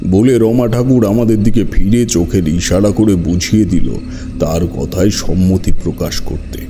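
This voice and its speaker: A man's voice: low voice, moderate pace, warm tone, faint music behind. A man narrating a scripted first-person horror story in a dramatic, literary storytelling manner.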